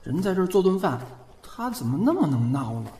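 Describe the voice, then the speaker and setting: 一名男演员在广播剧里饰演一个甜蜜害羞、爱说话的年轻男友，语气真诚、带点孩子气和自嘲。. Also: male voice, a little high, very animated, fairly quick, mellow, slight background noise. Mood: teasing, happy. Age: young adult. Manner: earnest, boyish, self-deprecating. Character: sweet, bashful, chatty young boyfriend in love. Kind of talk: Chinese audio drama acting. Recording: music under the voice. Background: background music.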